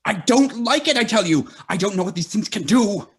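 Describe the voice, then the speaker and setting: A woman playing a fearful, suspicious lab assistant with a husky voice in a radio play reading. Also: mid-range voice, lively intonation, brisk.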